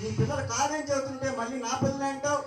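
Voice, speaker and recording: Echoey high-voiced woman hosting for children. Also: steady tone, racing. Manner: questioning, scolding, prompting the audience. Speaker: puppet show presenter. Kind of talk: interactive children's gospel show patter. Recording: echoey distant PA, noisy crowd.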